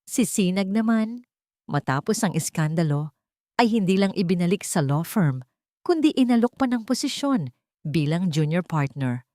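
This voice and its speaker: A woman narrates a dramatic story. Her voice sits fairly low and swings widely in pitch. The recording is close and dry. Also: animated, normal speed, warm tone, no echo.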